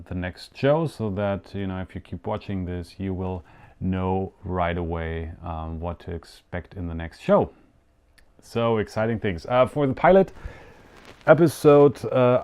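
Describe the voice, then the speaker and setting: A man's voice: deep voice, darkish tone, smooth. A young man whose first language is German hosts a live show in casual, hesitant talk with many fillers and restarts. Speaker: show host. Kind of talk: casual live-stream hosting.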